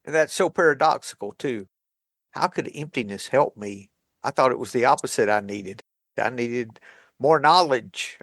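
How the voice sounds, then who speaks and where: A man co-hosting a recovery podcast, calm and wry, questioning himself aloud. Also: mid-range voice, dry recording, studio quality. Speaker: podcast co-host. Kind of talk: reflective recovery group talk.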